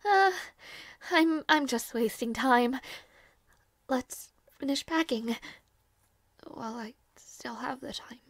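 A woman playing a devoted, anxious young maid in an audio roleplay, speaking softly and politely with halting hesitation. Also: high-pitched, animated, no room sound, full character voice. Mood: sad, wistful.

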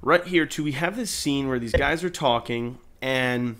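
A man's voice: mid-range voice, a little slow, very noisy. A man narrating a video editing tutorial in an informal, explanatory way. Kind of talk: casual screen-recorded tutorial narration. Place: indoors, voice-over at a computer.

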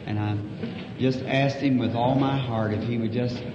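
Folksy preacher in an old echoey hall. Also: male voice, medium pitch, fairly even intonation, moderate pace, a crowd in the background. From United States. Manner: humble, folksy, soft-spoken with small laughs. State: slightly hoarse voice. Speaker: evangelist preacher. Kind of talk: sermon. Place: church auditorium. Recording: old echoey hall recording, dull and hissy.

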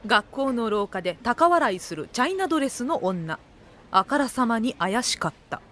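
A woman playing the dry, wry narrator of an anime comedy audio drama, reading scripted narration in a measured deadpan. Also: fairly high pitch, noisy background.

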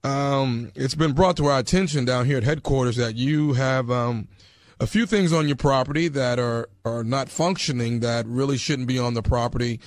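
A man playing a stern, bureaucratic neighborhood enforcement official with a halting, insistent manner on a prank call. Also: mid-range voice, no room sound, close to an everyday voice. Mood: officious, measured. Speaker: prank caller.

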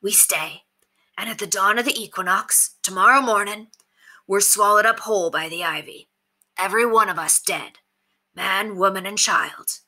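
A woman playing a male bandit king in an audiobook story, grave and resolute, speaking in a slow, direct way with rustic phrasing. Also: mid-range voice, sharp tone. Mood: calm, resolute.

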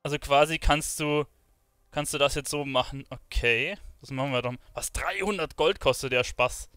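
A man's voice: medium pitch, normal speed, sharp tone, clean tone, dry recording, studio quality. Man casually commentating a video game. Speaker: gaming streamer. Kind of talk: casual let's play commentary.

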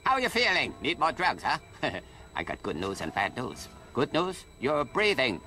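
A jolly older back-alley doctor in a game cutscene. His clipped broken English swoops up and down dramatically, slow and gravelly. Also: mid-range voice, huge pitch swings, sharp tone, soft background music, full character voice. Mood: wry, cheerful. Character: jolly older male underground surgeon, eccentric.

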